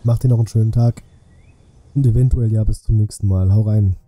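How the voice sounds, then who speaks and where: A man's voice: lowish voice, fairly even intonation, a little fast, mellow. A man commentating a game on a live stream, talking colloquially and thinking aloud in a casual way. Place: small indoor home streaming room. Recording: music behind the voice.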